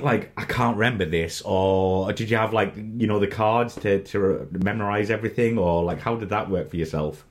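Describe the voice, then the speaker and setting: A man's voice: lowish voice, a little mellow. A man hosting a casual interview, asking questions in informal, somewhat hesitant phrasing. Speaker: interviewer, host.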